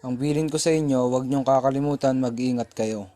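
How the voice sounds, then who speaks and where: A man's voice: mid-range voice, steady tone, brisk, warm tone, no echo, lightly acted. A man playing a grave old islander who gives cautious warnings in an amateur radio drama. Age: older.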